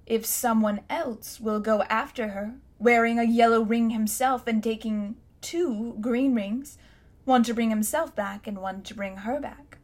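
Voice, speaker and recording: A haughty, blustering old magician uncle, cruel and full of his own importance, as a woman puts him on in a storybook reading. He talks down from on high in a loud, lofty voice. The voice sits a little high. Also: steady tone, a little slow, close to an everyday voice.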